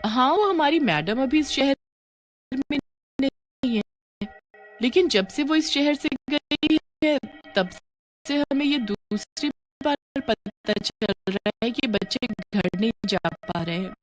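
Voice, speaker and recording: A young schoolgirl, voiced by a woman, pitched high with an earnest, questioning manner. She belongs to a horror storybook, with faint music behind her. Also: expressive, slow pace. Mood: puzzled. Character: young schoolgirl, puzzled and uneasy.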